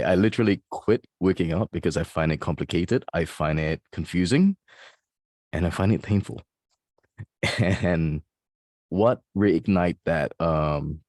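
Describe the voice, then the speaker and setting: A man with a deep, resonant, bassy voice, a fitness coach telling his story in an interview. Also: darkish tone, no echo, clean studio sound. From Malaysia.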